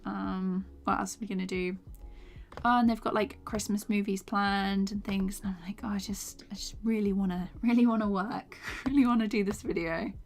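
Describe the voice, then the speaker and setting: A woman's voice: mid-range voice, steady tone, moderate pace. Chatty young woman, tired voice. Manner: chatty, laughs often, trails off into soft mumbles while working. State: voice tired from talking all day. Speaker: animation streamer. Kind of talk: casual chat while animating on stream. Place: small home room, indoors. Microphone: desk microphone with foam cover.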